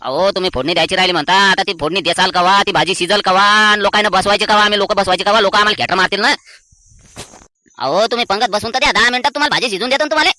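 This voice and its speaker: A man's voice: sits high, rapid-fire, pushed and bright, no echo. A man playing a touchy, quarrelsome uncle in a cartoon comedy, complaining indignantly with a rural Maharashtrian accent. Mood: angry, scolding.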